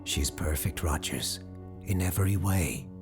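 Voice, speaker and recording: Lovesick young sailor read by a woman, dreamy, insistent, deep-voiced, music beneath, for an audio ghost tale. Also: expressive, a little slow. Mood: entranced. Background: music under the voice.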